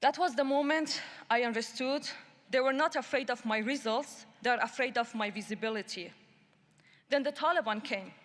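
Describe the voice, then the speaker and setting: A woman's voice: on the high side, a bit bright. Emphatic woman speaking in a reverberant hall. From Iran. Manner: deliberate, measured and emphatic. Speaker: speaker at a human rights summit. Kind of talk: prepared speech. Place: large conference hall.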